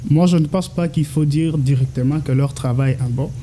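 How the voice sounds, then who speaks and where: Congolese guy, high dark voice, keeps asking rhetorical qs, faint background noise. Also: moderate pace. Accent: Congolese French. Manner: insistent, rhetorical questions, repeated stammered syllables. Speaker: political analyst guest. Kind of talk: interview answers, mixing French and Lingala.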